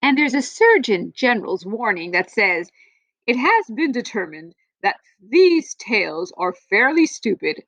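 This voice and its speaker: A woman with a fairly high voice reads to children in an expressive storybook style. Her pitch swings widely, with playful emphasis and stretched-out phrases. The sound is very dry, with little room echo. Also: highs cut off. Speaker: teacher or school librarian reading aloud.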